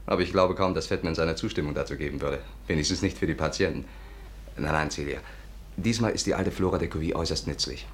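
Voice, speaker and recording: An actor playing a cocky, persuasive, charming schemer with a brisk, coaxing manner in a 1950s radio drama. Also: male voice, extremely low pitch. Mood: brisk, confident. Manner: brisk, coaxing, self-assured. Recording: noisy old 1950s radio recording.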